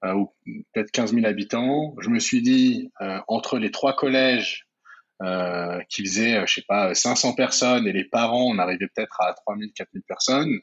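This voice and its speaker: Guest, man, 30s, from the Paris region; low husky voice, halting with fillers, muffled lo-fi recording. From France, Paris region. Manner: hesitant, many fillers and restarts. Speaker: guest entrepreneur. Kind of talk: casual interview talk.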